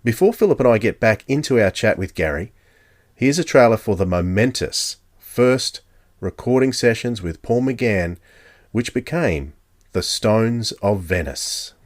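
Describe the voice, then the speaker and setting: A man's voice: fairly low pitch, lively intonation, a little slow, no echo, clean studio sound. A man with an Australian accent giving a scripted introduction as a podcast host.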